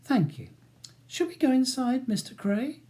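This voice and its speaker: A poised female barrister, put on by an audiobook reader, soothing and sweetly firm. Her speech is polite and calming, in the manner of storybook narration, with a soft, mellow tone. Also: mid-range voice, normal speed, pitched above the performer's own voice, close to an everyday voice.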